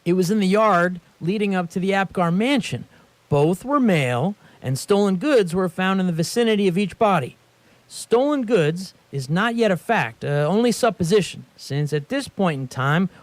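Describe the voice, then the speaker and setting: A man plays an eager junior lawman in a radio drama. His high voice moves up and down a lot as he hesitates politely and fusses over details, recorded close and dry. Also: dry recording. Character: eager, fussy, deferential male police subordinate, Francois. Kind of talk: radio theatre acting.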